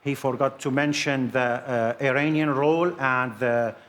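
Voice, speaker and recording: A man speaking as a guest in a live studio debate, measured and full of hesitant pauses. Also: medium pitch, steady tone, a bit bright. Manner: measured, many hesitant fillers. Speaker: panel guest, Syrian opposition member.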